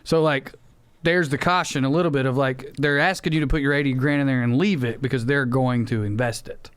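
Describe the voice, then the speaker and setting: A man's voice: medium pitch, steady tone, fairly quick, fairly bright tone, clean tone. A man chatting casually as a podcast host, explaining things in a matter-of-fact, wry way with casual swearing.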